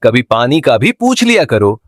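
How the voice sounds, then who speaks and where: A man playing an excitable village mutton seller in a cartoon, complaining and emphatic. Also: medium pitch, normal speed, lightly acted. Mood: exasperated. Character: village mutton sellers, excitable, put-upon adult men. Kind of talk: Hindi cartoon voice acting.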